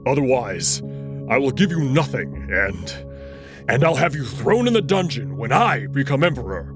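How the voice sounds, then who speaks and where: A man voicing a young alien wolf prince, emotional and forceful, in a sci-fi visual novel reading. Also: medium pitch, big pitch swings, moderate pace, music in the background, close to an everyday voice. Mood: defiant. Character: Amicus, desperate defiant young male alien wolf prince.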